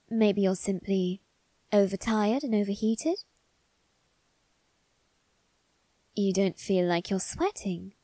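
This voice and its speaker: A woman's voice: mid-range voice, no echo. A woman voicing a suave, doting young male vampire aristocrat in an ASMR roleplay, speaking to the listener in a genteel, coaxing, faintly sinister way. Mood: feigned concern. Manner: genteel, coaxing, softly condescending.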